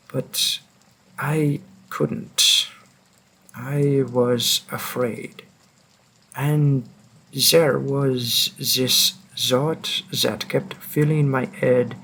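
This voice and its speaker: A man playing a centuries-old, remorseful vampire hunter in an audio drama, speaking in a brooding, halting manner with long pauses. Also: medium pitch, a bit bright. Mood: anguished, regretful. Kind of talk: scripted dramatic monologue in audio roleplay.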